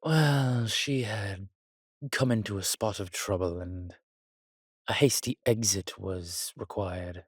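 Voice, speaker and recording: Man acting a slow, husky, roguish old vampire. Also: on the low side, no echo, studio quality, exaggerated voice. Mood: amused, nostalgic. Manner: theatrical, archaic turns of phrase, teasing. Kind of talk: intimate roleplay audio drama.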